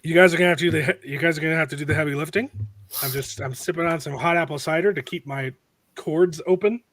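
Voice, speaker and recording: A man hosts a casual podcast in a hoarse voice, worn down by a cold. He rambles, restarts his sentences and jokes at his own expense. His voice is a bit high for a man and sounds dry and close. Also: fast, a bit bright, no room sound. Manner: rambling, with restarts and self-deprecating asides. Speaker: podcast host.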